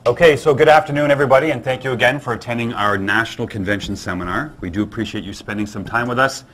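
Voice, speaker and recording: A man with a loud voice opening his part of a conference seminar in a businesslike way. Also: medium pitch, quick pace, slight background noise. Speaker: seminar presenter. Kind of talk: formal conference presentation.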